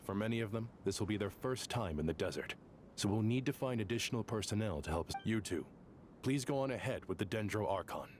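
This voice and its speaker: An actor playing a solemn, courteous, dignified leader in an anime-style video game, speaking formally and measuredly. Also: male voice, lowish voice, expressive, brisk, a little hiss or hum, lightly acted. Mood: authoritative.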